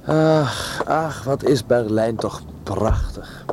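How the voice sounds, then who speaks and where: An actor playing an effusive, sentimental gentleman traveller in a radio drama, exclaiming and savouring his words. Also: medium pitch, slow pace. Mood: delighted, sentimental. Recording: noisy track with vehicle ambience.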